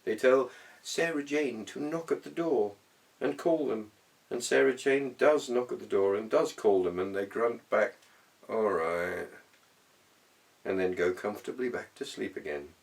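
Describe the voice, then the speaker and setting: Low-voiced man reading a story, distant mic. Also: a little slow, gravelly. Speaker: audiobook reader. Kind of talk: expressive literary storytelling, reading a humorous essay.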